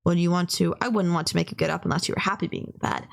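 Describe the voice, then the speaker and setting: A woman's voice: lowish voice, steady tone, very fast, creaky. A woman playing a polite, gushing young female kidnapper in an intimate anime-style roleplay, earnest, apologetic and rambling, obsessively in love. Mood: earnest, fussing.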